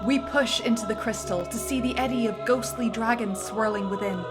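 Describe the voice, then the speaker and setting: A woman's voice: a little high, normal speed. A woman narrating script directions in a dramatic reading, building up to the action beats, with music underneath.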